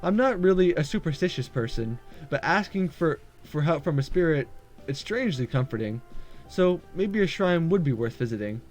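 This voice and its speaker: A fairly high-voiced man reads a visual novel aloud for a gaming video. His delivery swings up and down a lot, with casual asides and small stumbles, and soft music plays behind him. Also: lively intonation, normal speed. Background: game background music.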